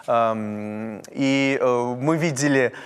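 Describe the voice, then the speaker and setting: A man from North Ossetia hosting a TV interview, speaking in a measured, searching way with many fillers. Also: medium pitch, clean tone, big-room sound. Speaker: TV host. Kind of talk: TV interview and sign-off.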